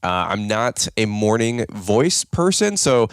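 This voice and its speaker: A man hosts a podcast in a slightly low, raspy morning voice. He talks in an upbeat, rambling way, full of fillers and restarts. The sound is dry and close. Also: big pitch swings, slow pace, no room sound. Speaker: podcast host. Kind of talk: casual podcast chat.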